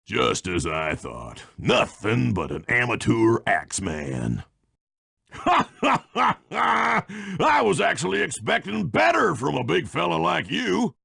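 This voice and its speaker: An actor voicing a boastful, bullying old-time lumberjack villain in a cartoon game, raspy and taunting with a folksy rustic North American drawl. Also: male voice, mid-range voice, wildly swooping pitch, measured pace, fairly bright tone, no room sound, studio quality, full character voice. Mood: mocking, gloating. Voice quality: gravelly, raspy.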